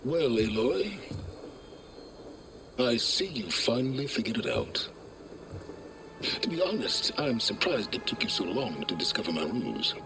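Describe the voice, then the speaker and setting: An actor playing an arrogant, scheming older male scholar in a video game, pompous and theatrical with deliberate emphasis. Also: medium pitch, very animated, over music. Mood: smug. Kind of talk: video game cutscene acting.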